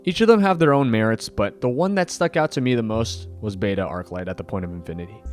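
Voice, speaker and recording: A man hosting a scripted commentary video with enthusiastic delivery and dramatic emphasis. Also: lowish voice. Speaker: video host and anime fan. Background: background music.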